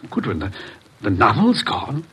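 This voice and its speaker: An actor playing a polite, money-minded book publisher in classic radio drama style, with a formal, courteous manner. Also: male voice, on the low side, wildly swooping pitch, moderate pace, fairly bright tone, breathy edge, heavily acted. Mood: dismayed.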